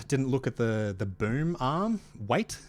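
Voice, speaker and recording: A man streaming live, chatting casually with his viewers, hesitant with many fillers and restarts, speaking into a dynamic mic on a boom arm at home. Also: sing-song, slow.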